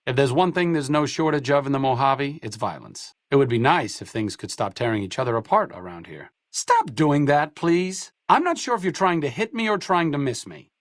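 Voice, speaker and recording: Casting: man to voice wry, educated male doctor sidekick for post-apocalyptic game; deadpan wit, animated intonation, studio-dry recording. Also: medium pitch, moderate pace, hardly any pauses, no echo, natural voice. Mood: weary, sardonic, then annoyed, sarcastic. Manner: dry, sardonic, articulate. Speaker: video game voice actor. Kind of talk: scripted video game companion dialogue.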